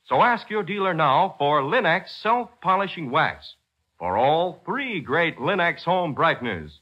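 A man working as a 1940s radio announcer, reading a script with emphatic, salesmanlike delivery and a husky voice. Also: fairly high pitch, very animated, takes it slowly. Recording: dull, lo-fi 1940s radio recording.

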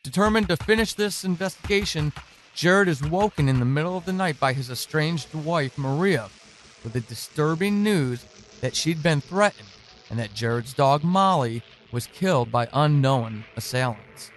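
A man reading scripted narration as a show's announcer in a solemn, measured way. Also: fairly high pitch, lively intonation, a little hiss or hum, low-quality recording.

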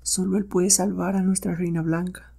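A cautious old male ape, voiced by a man narrating, speaks slowly and in a hush. He is a wary, fearful jungle counselor from a comic-book tale, with a mellow tone. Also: high-pitched, level tone, gravelly, husky, voice pushed up, exaggerated voice.

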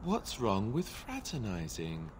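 A man's voice: on the low side, wildly swooping pitch, slow pace, a little hiss or hum, heavily acted. An actor playing a wry, defensive young male warrior companion in a fantasy video game. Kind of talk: video game dialogue acting.

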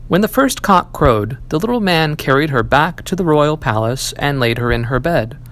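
A man narrates a fairy tale in an expressive storybook manner. His voice sits a little high for a man and moves with lively, swooping intonation. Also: normal speed. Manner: storybook delivery, acting out dialogue. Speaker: audiobook reader, library staff.

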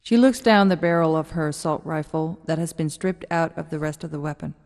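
A woman's voice: low-pitched, steady tone, a little fast, darkish tone. A woman reading scripted stage directions as the narrator of a radio drama. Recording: long hall reverb.

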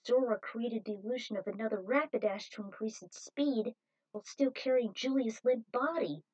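A woman's voice: a little high, normal speed, darkish tone, lo-fi sound. A woman reading a scripted adventure story aloud as narrator, in a dramatic manner.

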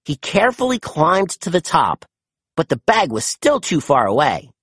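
A storybook narrator reading aloud to children in an expressive, animated way with dramatic emphasis. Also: medium pitch, moderate pace, slightly rough, no room sound, studio quality.

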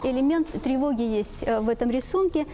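A middle-aged woman explaining things as an expert guest on a talk show, in a halting way that repeats phrases. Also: high voice, dark tone, a little hiss or hum. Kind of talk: explaining children's drawings to parents. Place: TV studio with seated guests.

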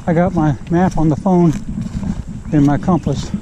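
A man's voice: on the high side, fairly even intonation, measured pace, noisy background. A man vlogging as he hikes a forest trail, talking easily to the camera he carries outdoors. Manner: easygoing, talking to camera while walking. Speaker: hiker vlogger. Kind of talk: casual hiking narration. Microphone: action camera carried while hiking.

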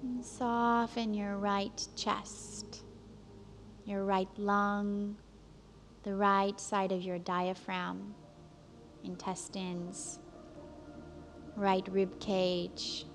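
Slow, even-toned woman guiding relaxation. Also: medium pitch. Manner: calm, soothing, gentle instructions with long pauses. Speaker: relaxation instructor. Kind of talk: guided relaxation meditation. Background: soft background music.

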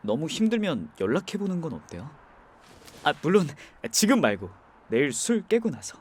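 Male actor as a young driver, gentle and reassuring, light voice with a lot of melody. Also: fairly high pitch, huge pitch swings, normal speed, breathy edge, full character voice. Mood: gentle, comforting. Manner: soft, gentle, comforting. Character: young man driving, kind and soft-spoken. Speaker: voice actor in an audio drama. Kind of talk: ASMR audio drama acting.

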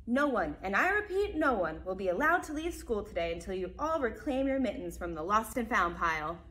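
A woman's voice: medium pitch, big pitch swings, brisk, fairly bright tone. A woman voicing a stern school principal who speaks firmly and with emphasis, in a storybook. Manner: firm and emphatic.

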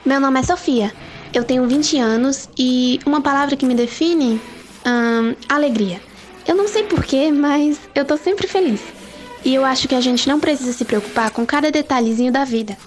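A woman's voice: sits high, normal speed, lightly acted. A woman playing a cheerful, carefree twenty-year-old reality show contestant. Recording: music behind the voice.

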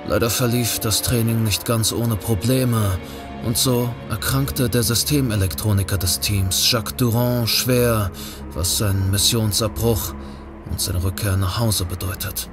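A man's voice: on the low side, steady tone, measured pace, music in the background, lightly acted. A man reading scripted narration for a thriller audio drama. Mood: measured. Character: male narrator of a polar thriller.

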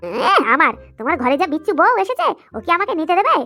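A woman acts as a greedy cartoon mistress with a high, bright voice and a very fast tongue. She pouts, nags and demands, sulky to the core. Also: big pitch swings, soft background music, heavily acted. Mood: accusing. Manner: pouting, nagging, demanding. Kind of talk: amateur comedy cartoon acting.

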